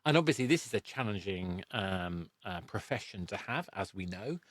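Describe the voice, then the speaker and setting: A man's voice: low-pitched, expressive, moderate pace, a bit bright, no echo. Chatty man, dry close sound. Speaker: podcast host. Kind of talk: casual conversational interview.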